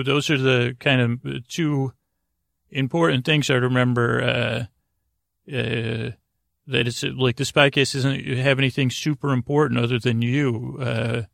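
A man with a creaky voice hosting a sleep podcast, rambling in a halting, meandering, self-deprecating way and drifting into tangents. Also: medium pitch, a bit bright, dry recording, studio quality. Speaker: podcast host.

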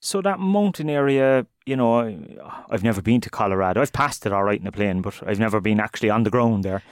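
A man's voice: fairly low pitch, animated, fast, no room sound, studio quality. A man hosting a podcast, asking friendly, curious questions and backing the guest up with short replies. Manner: friendly, inquisitive, short backchannels. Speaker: podcast host. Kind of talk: podcast interview.

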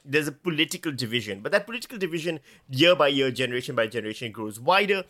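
A man chatting on a comedy podcast. His voice is bright and forceful and swoops up and down as he tells stories. He mocks himself and laughs often. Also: medium pitch, quick pace. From Pakistan. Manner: self-mocking, animated storyteller, laughs often. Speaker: podcast co-host. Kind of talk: casual comedic chat.

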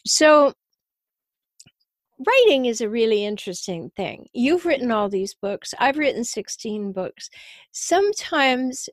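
Female podcast host, slightly high voice that is giving out, deliberate advisory delivery, dry recording. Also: very animated, no echo. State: losing her voice, frequently clearing her throat. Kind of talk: reflective advisory talk to listeners.

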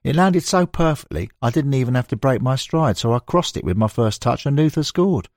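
A man's voice: mid-range voice, animated, brisk, darkish tone, dry recording, clean studio sound. A man reading scripted narration of a football biography as an audiobook narrator.

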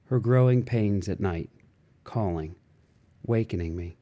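A man reading a literary novel aloud in a measured, expressive way with dramatic phrasing. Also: fairly low pitch, muffled recording. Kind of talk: literary audiobook narration.

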